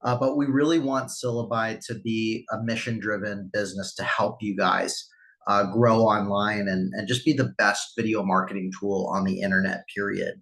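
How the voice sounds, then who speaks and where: A man's voice: fairly low pitch, fairly even intonation, normal speed, a bit bright. A man, a company CEO, hosting a casual online town hall meeting, friendly and rambling with many fillers.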